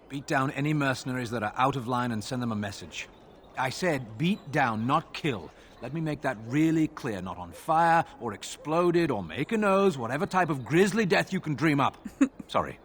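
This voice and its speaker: A man's voice: medium pitch, big pitch swings, normal speed, natural voice. Actor as weary fantasy-game guard sergeant, male, wry and sardonic, faint background noise. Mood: exasperated, dry. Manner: dry, wry, exasperated. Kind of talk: fantasy video game dialogue acting.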